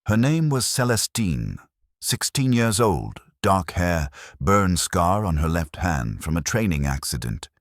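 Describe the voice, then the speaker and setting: A man giving a solemn, measured, scripted first-person narration of a dramatic story. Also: a bass voice, husky, no echo, studio quality.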